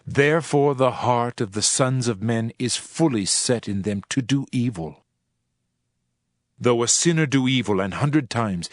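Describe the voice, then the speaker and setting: A man playing a solemn, world-weary preacher in a dramatized scripture reading, with measured, archaic oratory. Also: mid-range voice, no room sound, clean studio sound, lightly acted. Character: male preacher, solemn world-weary sage pondering wisdom and vanity. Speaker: narrator of a dramatized audio Bible. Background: music.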